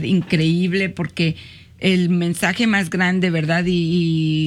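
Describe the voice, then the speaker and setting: A woman hosting a community radio talk show, thanking callers and guests, often adding "¿verdad?" as she speaks. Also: on the low side. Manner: warm thanks, frequent "¿verdad?" tags.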